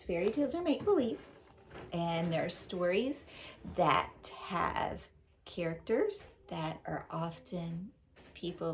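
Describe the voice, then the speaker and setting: A woman teacher giving a read-aloud lesson for children, patiently explaining things slowly to young listeners. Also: on the low side, a little hiss or hum.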